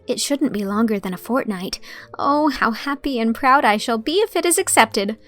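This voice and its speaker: A woman's voice: a little high, lively intonation, moderate pace, a bit bright. A proud, imaginative young woman of nineteen, voiced by a female narrator, speaks with earnest, dramatic conviction. The tone is lifted slightly and comes across with some force. Faint music plays beneath. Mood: hopeful. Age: young adult. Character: proud, dreamy young woman writer, passionate.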